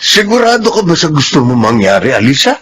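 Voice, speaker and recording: A Filipino actor playing a brisk, eager childless married man in a radio drama. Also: fairly high pitch, big pitch swings, loud music behind the voice. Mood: puzzled.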